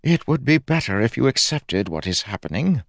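A man's voice: mid-range voice, very animated, moderate pace, dry recording, voice pushed up. Audiobook god, played by a male narrator: small, fussy, weary, soothing, lifted well above the narrator's register, dry close sound. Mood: bemused, resigned. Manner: huffy, solemn, soothing.

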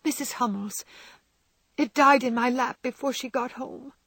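Brief: a woman reading a shy, frail young girl, breathy and hushed, close and dry. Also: on the high side, a little slow, no echo, pitched above the performer's own voice. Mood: sad. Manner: soft, often whispering. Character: gentle frail young girl, timid and loving.

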